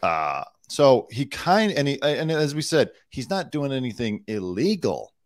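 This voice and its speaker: A man co-hosting a casual podcast, talking in an animated, opinionated way with emphatic asides. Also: medium pitch, measured pace, no echo, clean studio sound. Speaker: podcast co-host.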